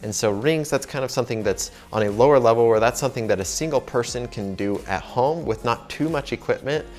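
A man's voice: medium pitch, brisk, a little mellow, faint music behind. A man in his twenties with an American accent, answering fan questions to camera in an informal, conversational way. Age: 20s. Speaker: host, ring maker. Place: indoor workshop with wooden wall.

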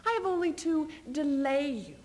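A woman playing a proud, scheming medieval queen in a theatrical stage reading, taunting her husband with measured, biting speech. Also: sits high, lively intonation, darkish tone. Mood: cool, taunting. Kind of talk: theatrical readers theater duo performance. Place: stage in front of curtain.

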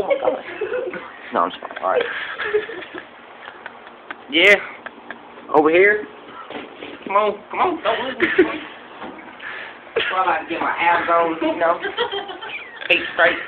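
A man playing a boastful, broke rural hunter who shows off his trophies in a rambling, deadpan way. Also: on the high side, phone-quality sound, background noise, close to an everyday voice. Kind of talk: home comedy sketch.

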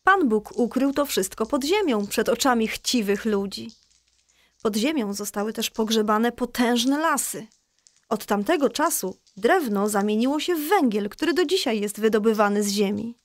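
An actress playing a patient mother explaining Bible stories in a measured way in a children's audio drama. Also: fairly high pitch, expressive, no room sound, lightly acted. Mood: patient, instructive.

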